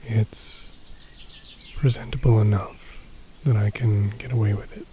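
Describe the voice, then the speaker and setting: A man playing a gentle, reassuring captor in a soft, intimate ASMR roleplay, pausing often as he speaks. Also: lowish voice, fairly even intonation, crowd noise, close to an everyday voice. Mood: calm, reassuring. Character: gentle male captor soothing a kidnapped elf.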